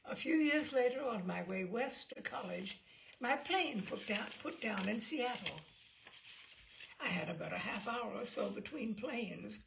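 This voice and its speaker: Slow, creaky-voiced woman storyteller. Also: fairly high pitch, animated, fairly bright tone, slight background noise, rough recording. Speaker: story reader. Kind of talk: scripted story narration.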